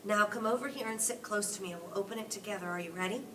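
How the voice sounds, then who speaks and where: A woman voicing an excited, mischievous old grandfather from a storybook, with an urgent, conspiratorial manner. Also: mid-range voice, quick pace, recorded from a distance, a crowd in the background, lightly acted, rough recording. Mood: eager. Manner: hushed, urgent, emphatic storybook delivery.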